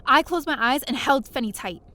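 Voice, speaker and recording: Audio drama lead: quick-witted young-sounding heroine played by a woman, high and animated, narrating and acting dramatically. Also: slight background noise. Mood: dramatic narration. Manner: expressive, dramatic. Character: plucky, quick-thinking woman space adventurer telling her story.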